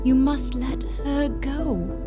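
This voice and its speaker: A woman playing a gentle, concerned sister in a Victorian audio drama, theatrical and earnest. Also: high voice, drawn-out words, music in the background. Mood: consoling.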